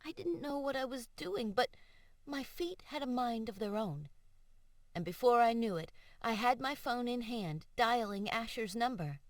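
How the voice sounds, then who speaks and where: A woman audiobook narrator reading first-person fiction in an expressive, emotive and deliberate way. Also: a little high, no echo, studio quality. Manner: emotive, measured, deliberate delivery. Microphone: studio voice-over microphone.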